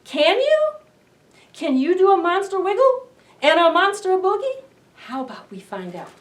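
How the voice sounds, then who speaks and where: A woman's voice: very high voice, sing-song, moderate pace. A middle-aged woman doing a dramatic picture-book read-aloud for young children, with questioning asides to her listeners. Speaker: library storytime host. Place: indoor library storytime room. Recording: roomy, distant camera microphone.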